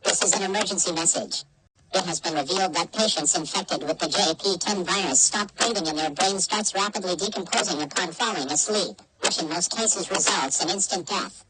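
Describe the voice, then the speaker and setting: Bit of noise, lady with a slightly low synthetic voice reading formal emergency alerts. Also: a little fast. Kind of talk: scripted emergency broadcast announcement.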